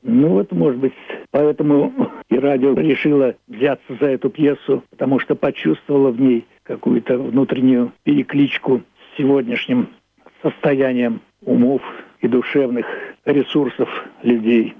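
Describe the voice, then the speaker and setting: A man's voice: medium pitch, steady tone, gravelly, breathy edge, no room sound. Elderly man on a muffled phone line. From Russia (Leningrad). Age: 80s. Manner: measured, thoughtful, literary phrasing. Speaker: playwright and author, interviewed about his play. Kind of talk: reflective radio interview monologue.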